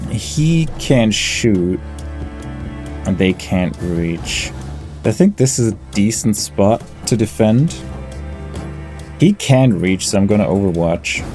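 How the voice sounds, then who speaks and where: A man giving casual let's play commentary, thinking aloud in a deliberate, low-key way. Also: animated, a little mellow. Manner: deliberate, low-key, talks through tactics. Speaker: gaming YouTuber. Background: game music.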